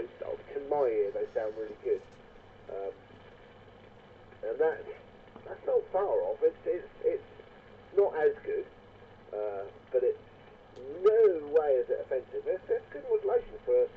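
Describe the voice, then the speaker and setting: A man chatting casually over a CB radio, rambling and repeating words. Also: medium pitch. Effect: CB radio transmission. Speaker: CB radio operator. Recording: tinny narrowband CB radio with faint noise.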